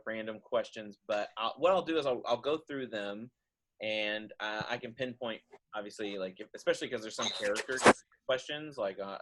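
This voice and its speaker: A man's voice: lowish voice, fairly bright tone. A man hosting a casual chat as one of the cast. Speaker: host and cast member.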